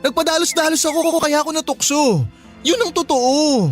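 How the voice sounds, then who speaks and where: A man's voice: very high voice, fairly even intonation, moderate pace, soft background music. A man with a Filipino accent acting a remorseful, pleading young boyfriend in a radio drama, apologetic and whiny.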